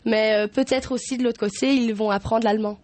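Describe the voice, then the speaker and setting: A woman's voice: on the high side, steady tone, fairly quick, darkish tone, no room sound. A woman in her twenties, a pageant candidate whose first language is German, answering interview questions in a studio in hesitant speech full of restarts and fillers. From German-speaking Belgium. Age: 20s.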